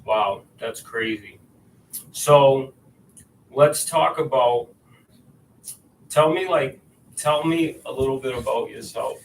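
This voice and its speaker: A man's voice: mid-range voice, takes it slowly, pauses often. A man hosting a podcast, chatting casually and informally, often dropping in the word like. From born outside the US, raised there from age nine. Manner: informal, sprinkles in "like". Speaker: podcast host. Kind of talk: casual chat. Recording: roomy echo, distant mic, faint background noise.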